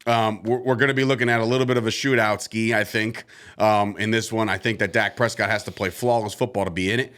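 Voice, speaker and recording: A man co-hosting a casual sports podcast, loud and quick to laugh. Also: lowish voice. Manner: loud, laughs often, excitable. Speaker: co-host.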